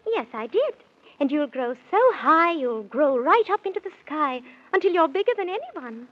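A woman's voice: high-pitched, very animated, normal speed. An actress playing a good fairy-tale queen who gives reassuring instructions in theatrical rhyme for a 1930s children's radio serial. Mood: reassuring, instructive. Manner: theatrical, sing-song rhyming instruction. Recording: dull vintage 1930s radio recording.

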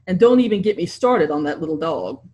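A woman's voice: on the low side, lively intonation, a little fast, dark tone, a little hiss or hum, lightly acted. A woman voicing a middle-aged society mother who speaks drily and sardonically. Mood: sardonic.

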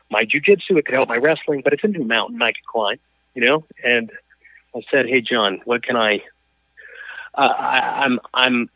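A man, a coach and athlete, telling his story as an interview guest, halting with frequent restarts and fillers. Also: mid-range voice, no room sound. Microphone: phone or call line. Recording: tinny phone line.

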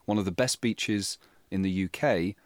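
A British man with a lowish voice hosts a casual podcast, explaining and quizzing as he goes. The recording is close and dry. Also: moderate pace, dry recording. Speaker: podcast host. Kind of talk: casual chat.

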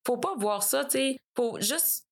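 A woman's voice: on the high side, expressive, measured pace, breathy edge. A woman with a Québécois French accent, a guest on a business podcast, chatting casually with many fillers and frequent laughter. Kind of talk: casual conversation.

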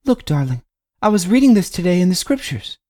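A man's voice: sits high, very animated, fairly quick, darkish tone, pitched above the performer's own voice. A man voicing a devoted, pregnant young wife who speaks earnestly and pleads with her husband.

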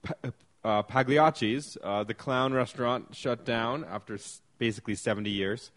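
A man's voice: medium pitch, normal speed, a bit bright. A man hosting a casual podcast, joking and rambling with lots of fillers. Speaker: podcast host.

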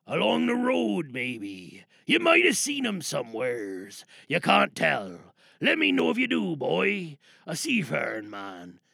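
A man playing a gravelly old sea captain. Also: wildly swooping pitch, slow pace, hardly any pauses, bright, forceful tone, no room sound, clean studio sound, exaggerated voice. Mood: secretive. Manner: growling, low and secretive, bursts of barking orders. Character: gruff old male sea captain, menacing. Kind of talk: comic audio drama acting.

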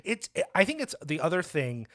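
A man co-hosting a casual film discussion, animated and swearing, acting out quotes in mocking voices. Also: a little high, moderate pace. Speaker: film podcast co-host.

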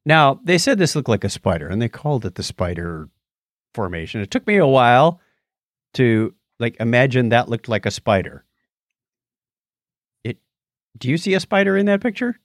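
A man's voice: medium pitch, very animated, moderate pace, pauses often, dry recording, clean studio sound. A man hosting a podcast in a casual, chatty style, bantering and joking as he goes. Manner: jokey, chatty. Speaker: podcast host. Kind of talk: casual news and birthdays banter.

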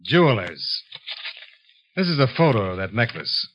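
An actor playing a brisk, probing investigator who narrates his case in a 1950s radio drama. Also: mid-range voice, sing-song, a bit bright, a little hiss or hum. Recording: dull lo-fi 1950s radio recording.

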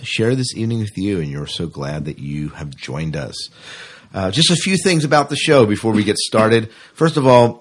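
A man with a deep, somewhat raspy voice co-hosts a fan podcast. He talks in a loose, chatty way, drifting into asides as he addresses the listeners. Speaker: podcast co-host. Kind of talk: casual sci-fi fan podcast chat.

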